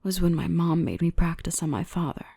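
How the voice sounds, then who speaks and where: Gentle dominant dark elf , played by a woman: low, breathy-soft ASMR murmur with creaky edges, tender and reassuring. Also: no echo, studio quality. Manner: soothing, unhurried, reassuring, often whispering. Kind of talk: ASMR roleplay, softly whispered and spoken.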